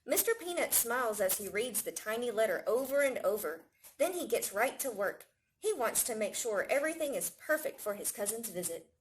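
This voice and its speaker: Animated woman reading a children's story. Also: a little high, moderate pace, slight background noise, poor audio. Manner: expressive, storytelling to young children. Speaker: library staff storytime reader. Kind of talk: children's picture book read-aloud.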